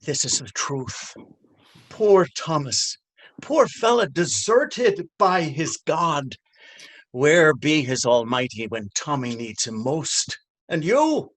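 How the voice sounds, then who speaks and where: An actor playing a cruel, taunting police officer in a stage drama, with a mocking, menacing drawl. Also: male voice, fairly high pitch, animated, slow pace, fairly bright tone, full character voice. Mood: cruel, gloating. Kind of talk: stage readers theater drama.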